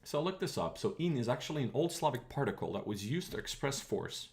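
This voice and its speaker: A man's voice: mid-range voice, very animated, fairly quick, creaky, a little mellow. A young man casually teaching old Russian letters on a livestream, thinking aloud and switching between English and Russian words.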